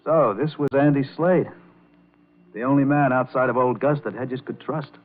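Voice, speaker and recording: An actor playing an earnest, awkward young man with a halting, boyish manner in an old-time radio drama. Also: mid-range voice, close to an everyday voice. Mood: brooding, narrating. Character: earnest, awkward young piano player narrating his story. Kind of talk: 1940s radio drama acting and first-person narration. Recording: tinny old radio recording with music.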